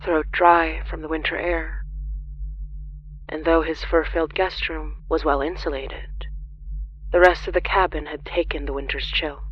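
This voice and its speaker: A narrator reading scripted horror fiction in a dramatic, deliberate storytelling manner. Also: medium pitch, flat delivery, dull audio, a little hiss or hum.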